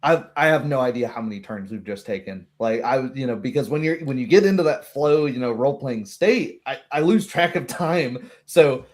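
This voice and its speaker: A man's voice: mid-range voice, lively intonation, normal speed, few pauses. A man chatting casually as a game master, reflective and given to quoting his own thoughts aloud. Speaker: game master hosting a post-game discussion. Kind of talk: casual chat.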